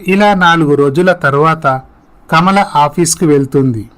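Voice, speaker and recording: A man's voice: on the high side, measured pace, fairly bright tone. A man reading scripted narration for a story as its narrator.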